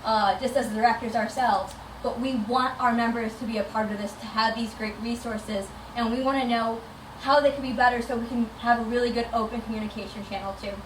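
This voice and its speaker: Higher-voiced woman presenting in an echoey room. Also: steady tone, brisk. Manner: enthusiastic, conversational. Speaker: chapter director presenting. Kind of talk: informal presentation to a meetup. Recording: echoey noisy room, distant microphone.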